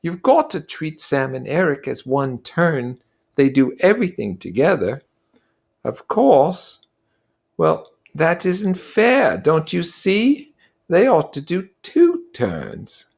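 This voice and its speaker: Man putting on a high-pitched, plaintive brainy schoolboy. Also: big pitch swings, slow pace, creaky, heavily acted. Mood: indignant. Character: anxious, earnest, brainy schoolboy, deeply troubled.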